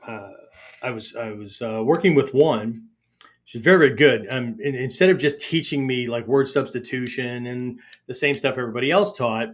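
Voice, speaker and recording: A middle-aged man from Pennsylvania hosting a podcast about martial arts, talking in a loose casual monologue that keeps checking in with "right?" and restarting his sentences. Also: mid-range voice. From Pennsylvania, United States.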